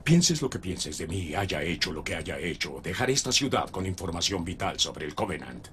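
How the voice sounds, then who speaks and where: Cold-blooded, condescending villain in an audio drama; Latin American Spanish, very animated intonation, noisy backdrop. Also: male voice, sits low, normal speed. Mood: cold, menacing. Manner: menacing, condescending, sardonic. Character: ruthless, smug, murderous male official fleeing a doomed city. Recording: voice over loud background ambience.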